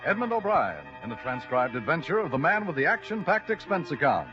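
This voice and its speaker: A man's voice: fairly high pitch, very animated, fairly quick. An American-accented man working as announcer of an old radio drama, projecting dramatically. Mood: grand. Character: bold, showy male radio show announcer. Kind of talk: 1940s-50s radio announcing. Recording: dull vintage radio recording with faint music.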